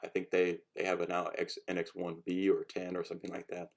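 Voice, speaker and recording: A man casually explaining a homemade project in a halting, unscripted how-to style, rephrasing as he goes. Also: deep voice, completely flat delivery, creaky. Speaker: hobbyist cosplay maker giving a demonstration.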